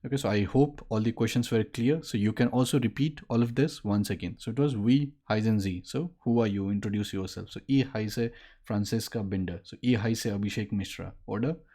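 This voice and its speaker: A man in his late twenties, a native Maithili speaker, teaching a casual online lesson at a desk, explaining and pausing with fillers. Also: fairly low pitch, dark tone. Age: late 20s. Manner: explains in mixed speech, halting with fillers. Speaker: language teacher. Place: indoor room at a desk.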